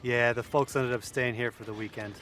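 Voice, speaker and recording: A man's voice: medium pitch, steady tone, a little fast, a bit bright, slight background noise, lightly acted. An actor playing a competitive, sarcastic young gamer who teases his friends in an audio drama. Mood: teasing. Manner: casual, teasing.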